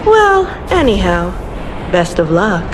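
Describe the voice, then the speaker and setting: A woman's voice: mid-range voice, very animated, slow pace, noisy background. A woman playing a sly, flirtatious rogue in a video game, with an arch, knowing delivery. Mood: playful, sly. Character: sly, flirtatious female rogue, playful and money-minded. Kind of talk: video game character acting. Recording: music behind the voice.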